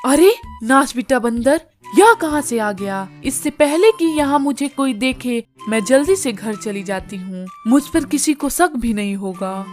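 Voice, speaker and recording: A cruel, scheming aunt of middle age, performed by a woman in a cartoon tale. Her voice sits high and she speaks as a greedy plotter. Music runs underneath. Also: animated, unhurried. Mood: annoyed, sly. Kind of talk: Hindi cartoon story dialogue.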